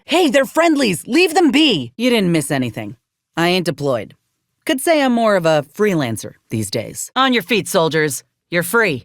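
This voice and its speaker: An actress playing a plucky, principled ex-soldier turned freelancer in a space-frontier video game, with plainspoken, folksy phrasing. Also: female voice, on the high side, no room sound, clean studio sound. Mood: indignant, then earnest, guarded. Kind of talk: video game character acting.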